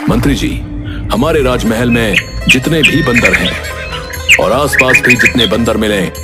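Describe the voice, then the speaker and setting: Loud music runs behind a man playing an imperious cartoon king. His mid-pitched voice is formal and commanding, as if giving orders in a palace. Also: moderate pace, natural voice.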